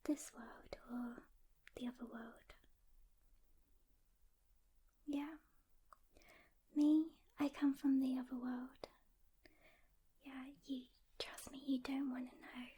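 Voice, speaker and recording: A woman playing a reluctant loner demon hunter in an ASMR roleplay, soft-spoken and whispering, with a casual, halting delivery. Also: sits high, little pitch movement, breathy edge, full character voice. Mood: casual, guarded. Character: seasoned female demon hunter, reluctant loner, guarded but caring.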